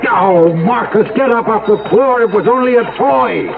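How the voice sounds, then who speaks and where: An actor playing a menacing, commanding crook boss in a radio drama. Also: male voice, sits high, moderate pace, creaky, loud music behind the voice. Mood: frantic, angry. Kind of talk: 1970s radio drama acting. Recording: muffled, very noisy old radio broadcast.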